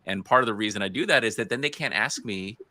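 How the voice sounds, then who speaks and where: A man's voice: on the low side, quick pace, bright, forceful tone, no echo. A middle-aged man with an American accent, moderating a panel in a conversational, unscripted way.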